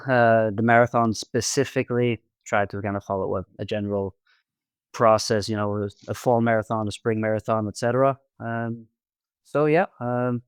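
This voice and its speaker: A man in his twenties with a Norwegian accent, chatting casually as a podcast guest, halting often with ums and repeated words. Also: mid-range voice, clean tone, no room sound, studio quality. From Norway. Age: 20s. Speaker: podcast guest, amateur marathon runner. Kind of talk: casual interview chat.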